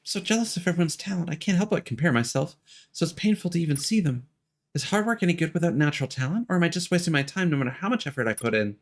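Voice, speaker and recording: A man's voice: on the high side, lively intonation, fast talker. A man voicing an anxious, envious teenage girl athlete, reading her game dialogue in an earnest, confessional way. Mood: insecure, envious. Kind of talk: reading video game dialogue aloud in character.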